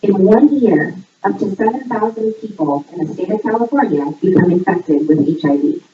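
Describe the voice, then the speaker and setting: A woman reading a scripted voice-over like a playful quiz presenter, setting out each line clearly. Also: animated, normal speed. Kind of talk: scripted voice-over narration, trivia quiz for a fundraiser. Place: voice audio played back in a room during filming. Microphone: far camera picking up played-back audio. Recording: echoey, distant, muffled low-quality playback.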